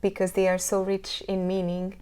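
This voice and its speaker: A woman's voice: medium pitch, completely flat delivery, moderate pace, mellow, smooth. A woman with a Hungarian accent, a singer answering interview questions thoughtfully, often restarting her sentences as she searches for words. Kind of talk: casual interview talk.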